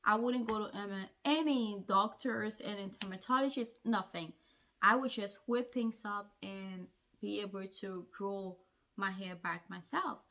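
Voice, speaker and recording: A woman's voice: mid-range voice, fairly even intonation, measured pace, bright, forceful tone. A woman vlogger telling a personal story to camera in an earnest, emphatic way, repeating phrases to drive points home. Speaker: hair-care vlogger.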